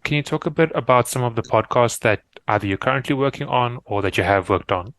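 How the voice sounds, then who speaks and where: A man's voice: medium pitch, fast talker, a bit bright. A man hosting a podcast and discussing things analytically. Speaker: podcast host.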